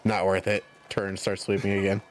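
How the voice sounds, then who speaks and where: Low-voiced man running a tabletop game. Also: completely flat delivery, moderate pace. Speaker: game master. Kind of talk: improvised tabletop game narration.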